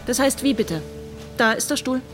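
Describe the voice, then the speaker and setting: An actress playing a cheeky, no-nonsense woman working on a hospital ward in a radio drama, brisk and colloquial. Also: high-pitched, lively intonation, over music. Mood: stern. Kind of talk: German radio drama acting.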